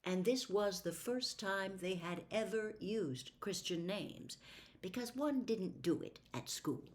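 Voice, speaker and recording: A woman reading a classic storybook aloud as scripted narration, in a measured, careful storytelling manner. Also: medium pitch, lively intonation. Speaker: audiobook reader.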